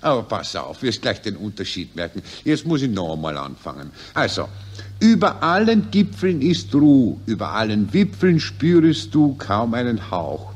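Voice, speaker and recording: An actor playing a proud, self-pleased amateur poet with an Austrian accent in a 1940s radio satire. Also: male voice, medium pitch, big pitch swings, normal speed, slightly rough. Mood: proud, eager.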